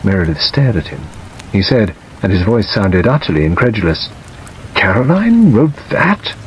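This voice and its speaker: A man's voice: low voice, brisk. A man narrating a scripted story as an audiobook reader. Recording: dull audio under steady rain noise.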